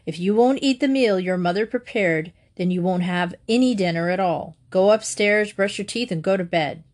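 A woman voicing a stern father scolding his son in a loud voice. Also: medium pitch, normal speed, natural voice. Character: stern father, middle-aged man.

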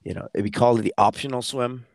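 A man talking casually as a coach and co-host, halting and often rephrasing mid-sentence. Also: mid-range voice, gravelly, dry recording, studio quality. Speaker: endurance coach and podcast co-host. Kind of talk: casual conversational podcast talk.

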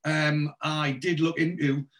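A man's voice: fairly high pitch, little pitch movement, takes it slowly, fairly bright tone. A man in his forties with a Geordie accent, hosting a podcast interview and rambling through many restarts and fillers. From North East England. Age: 40s. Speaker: podcast host. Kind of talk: casual interview.

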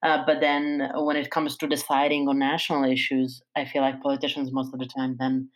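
A woman's voice: a bass voice, completely flat delivery, a little fast, low-quality recording. A young woman from Serbia in a casual panel discussion, reflective and hedging her points.

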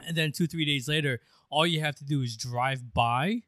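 A man co-hosting a podcast in loose, rambling casual chat, quick to laugh. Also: fairly high pitch, a bit bright, dry recording, clean studio sound. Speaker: podcast co-host.

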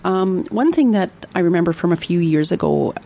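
A woman's voice: on the low side, expressive, moderate pace, creaky, warm tone. A woman hosting a radio interview in a studio, talking hesitantly with many fillers and self-deprecating asides. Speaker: radio show host.

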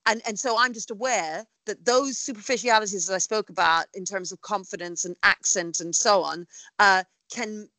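A woman in her forties with a Canadian accent softened by years in England, speaking as an interview guest, talkative and animated, with many fillers and repeated words. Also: medium pitch, no echo, rough recording. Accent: Canadian with an English tinge. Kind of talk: conversational interview.

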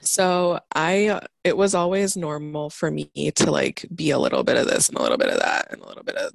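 Woman guest talking it through slow and thoughtful, gravelly edges, super dry close sound. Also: deep voice, sing-song, no room sound. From United States and Mozambique. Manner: thoughtful, searching for words, many fillers. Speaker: podcast guest. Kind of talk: reflective casual conversation.

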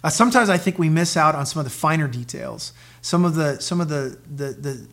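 A pastor addressing his congregation, a man with a slightly high voice. He talks in a halting way, with fillers and repeated words as he goes. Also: a bit bright. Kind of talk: sermon announcement to a congregation.

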